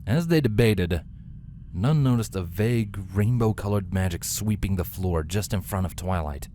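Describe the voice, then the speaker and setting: A man's voice: on the low side, normal speed, warm tone, slight background noise. A man reading a scripted fantasy story aloud as a dramatic narrator. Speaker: fan fiction narrator.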